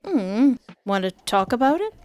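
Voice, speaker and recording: A woman hosting a game playthrough, reading narration aloud and reacting casually in a playful, expressive way. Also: on the high side, a little slow, highs cut off.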